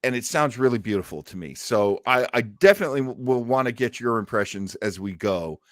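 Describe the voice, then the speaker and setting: An enthusiastic man hosts a radio show in a casual, chatty way. His pitch moves around a lot, with showman-like flourishes, and the sound is close and dry. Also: lively intonation, a little fast, no room sound. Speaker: host of an old-time radio show. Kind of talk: casual chat and show intros.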